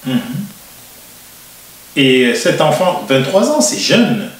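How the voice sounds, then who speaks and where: A man hosting a TV interview show, speaking in a measured way with frequent hesitations. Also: fairly high pitch, very animated. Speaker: TV show host. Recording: roomy echo, distant mic.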